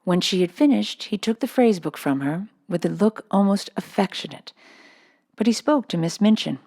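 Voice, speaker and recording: A woman's voice: mid-range voice, lively intonation, moderate pace, creaky, mellow. A woman reading a children's novel aloud as scripted storybook narration. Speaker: storybook reader.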